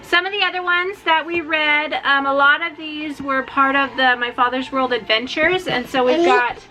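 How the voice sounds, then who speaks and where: A woman's voice: high voice, moderate pace, bright, forceful tone, low-quality recording. A woman talking in a casual, informal vlog style as a homeschooling mother presenting books. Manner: informal, often breaks off to talk to her small children.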